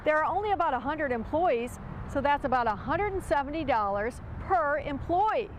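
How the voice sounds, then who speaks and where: A woman's voice: sits high, animated, normal speed, slight background noise. A woman with an American accent delivering a scripted TV news report as an investigative reporter, with pointed questions.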